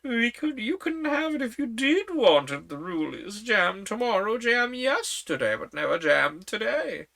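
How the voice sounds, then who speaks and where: A woman voicing a flustered, scatterbrained old queen in a storybook reading, her voice climbing into high fluttery shrieks. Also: big pitch swings, unhurried, gravelly, hardly any pauses, a bit bright, exaggerated voice.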